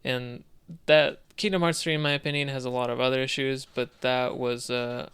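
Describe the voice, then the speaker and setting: Male co-host, clean smooth voice, casual and slightly hesitant, conversational game discussion. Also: medium pitch, little pitch movement, normal speed, fairly bright tone. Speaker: podcast co-host.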